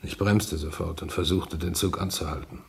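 An actor playing a shaken, grave train driver in a radio play, speaking deliberately. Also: male voice, sits low, steady tone, vocal fry, slight background noise. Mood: shaken, defensive.

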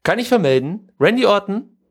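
A man's voice: sits high, big pitch swings, normal speed, breathy edge. A man co-hosting a podcast, chatting casually and giving his opinions in colloquial language. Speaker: podcast co-host. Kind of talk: casual chat about pro wrestling.

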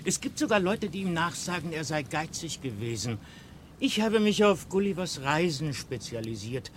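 An actor playing an eager, pedantic old vagabond lecturer in a German radio play. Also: male voice, a little high, sing-song, normal speed, slight background noise. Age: older.

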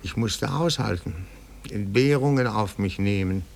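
Obsequious amateur detective, low voice, gravelly creak, pedantic, formal, 1950s radio drama style. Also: male voice, unhurried, a little mellow, slight background noise. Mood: plaintive, earnest. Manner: fussy, formal, elaborately polite. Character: pedantic, obsequious petty-bourgeois amateur detective, meticulous man.

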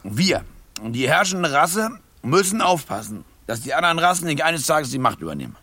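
A domineering rich husband in a radio play. His husky voice moves animatedly up and down. He blusters, lectures and cuts people short with arrogant impatience. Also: a little high, very animated, normal speed, pushed and bright, no room sound, full character voice. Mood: pompous, alarmed. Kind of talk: German radio play acting.

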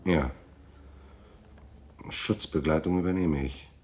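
A private detective in a radio play with a very low voice. He is laconic and matter-of-fact, keeping an unflappable, businesslike tone. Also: takes it slowly, a little mellow. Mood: cool, businesslike. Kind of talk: German radio drama acting.

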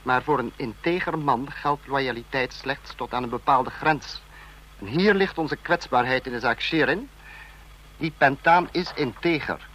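An actor playing a cold, authoritarian state leader in an old radio play, speaking in a clipped, lecturing, imperious manner. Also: male voice, mid-range voice, measured pace, a bit bright, low-quality recording. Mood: coldly reasoning. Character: cold authoritarian male supreme leader of a fictional police state.

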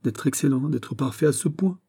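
Storybook heroine, acted by a male reader: young woman in love, anguished, imploring, exclaiming, pitch lifted, soft dark tone. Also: normal speed, creaky, breathy edge. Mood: incredulous, anguished. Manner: impassioned, imploring, breathless exclamations. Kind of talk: dramatic storybook reading.

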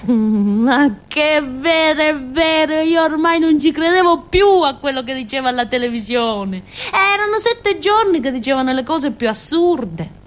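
High, swooping southern Italian village fool. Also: female voice, lively intonation, measured pace. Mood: agitated, indignant. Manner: childlike, rambling, self-questioning, emphatic. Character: naive village fool girl, candid, excitable, stubborn. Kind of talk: theatrical radio monologue, colloquial storytelling.

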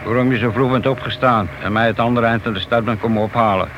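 An actor playing a loyal, steady married policeman in a Dutch radio play, mild and plain-spoken, quietly probing his partner. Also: medium pitch, fast talker, dull audio, lightly acted. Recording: faintly hissy old radio drama recording.

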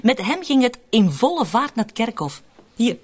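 A woman's voice: fairly high pitch, sing-song, moderate pace, a little mellow, slightly rough. An actress playing a scheming, mocking woman in a radio drama, sardonic and coolly in control. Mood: teasing, macabre. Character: scheming, mocking woman fond of morbid jokes.

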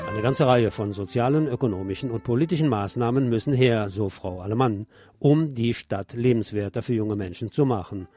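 A male radio presenter reads prepared news and commentary in a voice of average pitch. Music plays faintly behind him as he goes through the script. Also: fairly quick, mellow.

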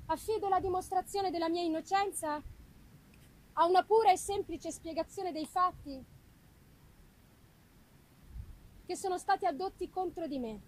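A woman voicing a wretched young accused woman pleading her innocence, solemn and deliberate, in a gothic novel reading. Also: very high voice, a little hiss or hum, poor audio. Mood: pleading, dignified. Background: live music.

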